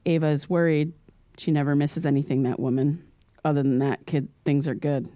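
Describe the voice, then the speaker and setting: An actress playing a wry, self-deprecating podcaster who mutters halting asides as she muses and reads aloud alone in a naturalistic audio drama. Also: sits low, fairly even intonation, warm tone, no echo, studio quality, lightly acted. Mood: musing, reading aloud.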